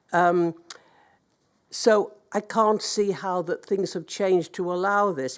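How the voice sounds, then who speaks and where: A woman councillor with a blocked-up nose from a cold, making an earnest, hesitant statement at a public hearing. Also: fairly low pitch. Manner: earnest, hesitant, with many ums and restarts.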